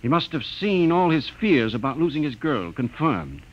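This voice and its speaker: An actor playing an earnest, brainy space explorer, urgent and explanatory, in old-time radio drama style. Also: male voice, fairly high pitch, very animated, moderate pace. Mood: grave, explanatory. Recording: dull old radio recording.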